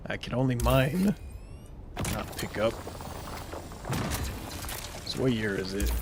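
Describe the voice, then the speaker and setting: A man's voice: mid-range voice, animated, slow pace, background noise. A man in his thirties streaming a game live, chatting casually in a rambling way and answering viewers' chat as he plays. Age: 30s.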